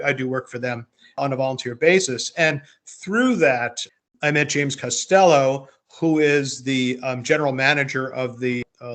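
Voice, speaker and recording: A man's voice: medium pitch, a bit bright. A man talking casually as a podcast host, reflective and rambling, often repeating words as he goes. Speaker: podcast host, playwright and producer. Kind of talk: casual conversational chat.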